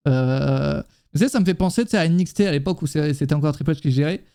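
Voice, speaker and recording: A man's voice: on the high side, fast, gravelly, dark tone, smooth. A man co-hosting a casual live-stream chat, talking in an informal, slangy way with casual swearing. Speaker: wrestling talk show co-host.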